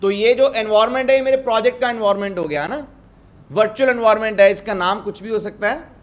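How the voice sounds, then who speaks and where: A man's voice: high-pitched, fast talker. A man with an Indian accent teaching a step-by-step coding lesson, switching between two languages and often checking that learners follow. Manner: switches between Hindi and English; instructive, often checks understanding with tag questions. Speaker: programming instructor.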